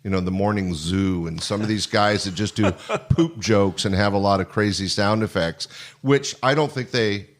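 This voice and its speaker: A man's voice: lowish voice, normal speed. A man hosting a conversational interview, speaking in a complimentary, rambling way. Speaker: podcast host interviewing guests.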